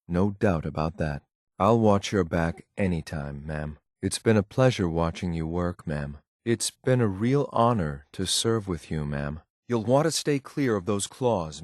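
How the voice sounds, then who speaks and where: An actor playing a stern, formal, dutiful synth soldier in a video game, speaking in a clipped, controlled military manner. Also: male voice, low-pitched, no room sound, clean studio sound. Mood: respectful, loyal. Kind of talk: video game companion voice lines.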